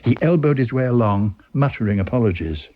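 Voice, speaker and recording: A man's voice: medium pitch, animated, a little fast, phone-quality sound. A man with a British accent reading a story aloud as dramatic scripted narration. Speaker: story reader.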